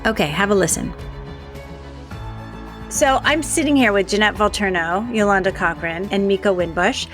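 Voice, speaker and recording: A woman's voice: fairly high pitch, a bit bright, no echo, studio quality. Woman podcast host with music behind. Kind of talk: host narration, ad reads and conversational interviewing.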